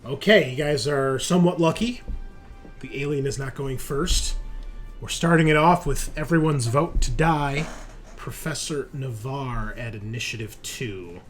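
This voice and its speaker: A man's voice: mid-range voice, unhurried. A man running a tabletop roleplaying game as game master, talking informally and explaining rules. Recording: faint music behind.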